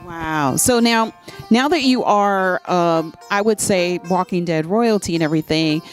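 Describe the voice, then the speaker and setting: A woman's voice: fairly low pitch, unhurried. A woman hosting an interview at a fan convention, asking questions in an eager, enthusiastic way with repeated "I mean". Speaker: interviewer and host. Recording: music faint behind the voice. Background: music playing.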